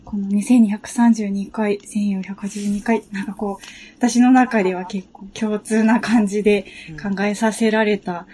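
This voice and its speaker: A woman chatting reflectively as a guest on a relaxed talk show, choosing her words tentatively. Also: medium pitch, a little slow. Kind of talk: relaxed reflective casual chat.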